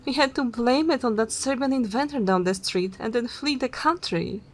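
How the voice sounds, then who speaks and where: A woman's voice: fairly high pitch, normal speed, natural voice. A woman playing a haughty, seductive vampire mistress in an ASMR roleplay, teasing and self-assured in a theatrical way. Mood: composed, scheming.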